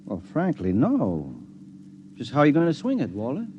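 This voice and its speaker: An actor playing a mild-mannered, middle-aged American friend in a 1950s radio drama, hesitant and astonished, full of doubtful questions. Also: male voice, mid-range voice, wildly swooping pitch, moderate pace, slight background noise. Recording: dull old radio recording.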